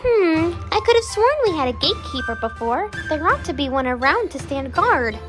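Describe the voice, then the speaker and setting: A performer voicing a fussy, loyal old underworld attendant in an animated drama, chatty, deferential and fretful. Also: high-pitched, moderate pace. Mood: puzzled, worried. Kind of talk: animated-story character acting. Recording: music behind the voice.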